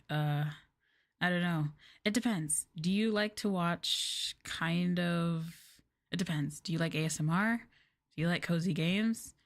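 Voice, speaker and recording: A woman streaming as a VTuber, chatting casually in a rambling, giggly way with lots of filler words. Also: lowish voice. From United States. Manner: giggly and playful.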